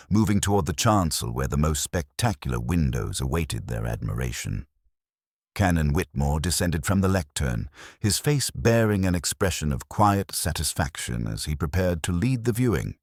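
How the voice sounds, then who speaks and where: A man reading a scripted mystery story as an audiobook narrator, in a measured, literary and dramatic storytelling manner. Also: rumbling low, no room sound, studio quality.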